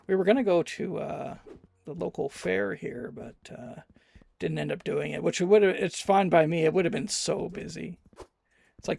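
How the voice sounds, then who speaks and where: A man's voice: fairly high pitch, gravelly, darkish tone, breathy edge. A Canadian man from Alberta commentating a live game stream and chatting with viewers in a loose, rambling, self-deprecating way. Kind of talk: live gameplay commentary while reading chat.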